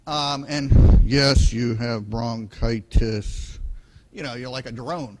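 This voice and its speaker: A man's voice: medium pitch, slow, low-quality recording. A man leading a conference workshop on a handheld mic, talking loosely with fillers and repeated words. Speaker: conference speaker. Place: conference room. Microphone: handheld microphone.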